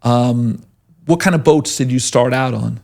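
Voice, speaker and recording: A man's voice: medium pitch, a little slow, creaky, darkish tone. A man with a strong Long Island accent hosting an interview, chatty and often ending his remarks with "right?". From Long Island, New York. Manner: chatty, leading questions with tag "right?". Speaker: podcast host. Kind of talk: conversational interview.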